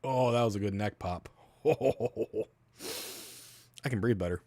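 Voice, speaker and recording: Man, Albertan Canadian accent, low voice, dry close sound, rambling profane livestream chat. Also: takes it slowly, warm tone, no room sound. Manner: loose, profane, meandering asides. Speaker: livestream host.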